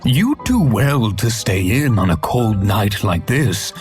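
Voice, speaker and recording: An actor playing a menacing, sly thug in a game cutscene, threatening in a slow, sneering way. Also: male voice, on the low side, sing-song, darkish tone, soft background music.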